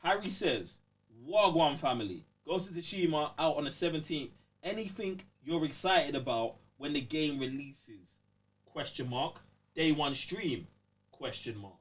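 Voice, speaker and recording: A man's voice: a little high, expressive, measured pace, recorded from a distance. A man with a London accent chatting casually as a podcast co-host, blunt, slangy and exclamatory. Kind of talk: casual gaming podcast banter.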